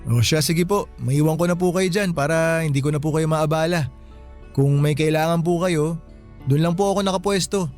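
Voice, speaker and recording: Guy doing a kind respectful filipino security guard, bit high voice, radio drama w faint music. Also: normal speed, natural voice. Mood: kindly. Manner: respectful, gentle. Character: polite, kindly Filipino bank security guard.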